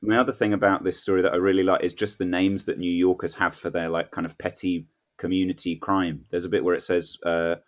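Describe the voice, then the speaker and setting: A man's voice: low voice, little pitch movement, brisk, hardly any pauses. A man chatting casually as a guest on a comedy podcast, telling whimsical, rambling anecdotes and acting out little quotes. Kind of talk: casual comic chat.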